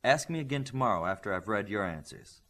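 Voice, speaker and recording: A man's voice: on the low side, lively intonation, quick pace, a bit bright. A man voicing a stern, demanding superhero team coach in an audiobook, giving clipped, commanding instructions. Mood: firm.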